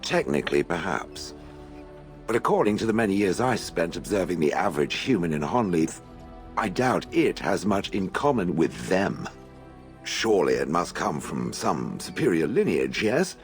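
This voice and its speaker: Ancient golem, deep, swooping intonation, haughty, sardonic, deliberate phrasing, video game drama. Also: male voice, sing-song, soft background music. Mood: dry condescension. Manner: stilted, condescending, deliberate phrasing.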